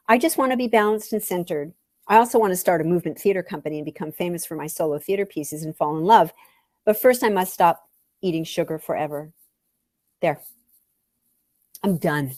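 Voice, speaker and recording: A woman's voice: on the low side, a little mellow, no room sound, studio quality. A woman reading a first-person story theatrically and expressively, at times dropping toward a whisper. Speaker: author reading own memoir story.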